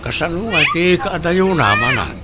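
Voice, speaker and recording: An actress plays a commiserating, plain-spoken Filipino sister in a radio drama. The delivery is lively and expressive. The recording is very noisy. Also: female voice, lowish voice, normal speed, close to an everyday voice. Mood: curious. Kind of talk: Ilocano radio drama acting.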